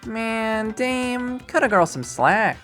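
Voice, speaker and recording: A man's voice: extremely high pitch, lively intonation, slow, sharp tone, soft background music, pitched above the performer's own voice, exaggerated voice. A man voicing a playful, blunt young college woman in a visual novel, with a teasing, slangy manner. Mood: playful, stubborn. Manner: teasing, slangy, hesitant when asking favours.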